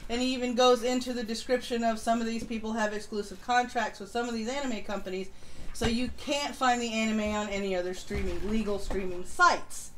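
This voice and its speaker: A woman's voice: a little high, low-quality recording. A woman co-host giving casual commentary on a live stream. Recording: home live stream with background noise.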